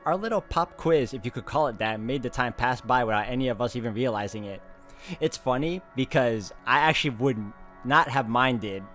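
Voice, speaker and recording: Male walkthrough host, medium pitch, expressive story reading, casual asides, soft background music. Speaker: video game walkthrough host. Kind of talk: reading visual novel narration aloud with casual asides.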